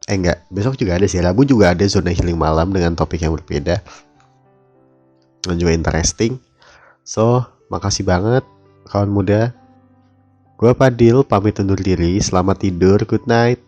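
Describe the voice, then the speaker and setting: A young male radio host with a deep, mellow voice, talking alone on a late-night show. He has the flu and talks casually and earnestly, now and then hunting for the right word. Soft music plays faintly behind him. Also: moderate pace, pauses often, dull audio. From Depok, West Java. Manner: casual, searching for words, heartfelt.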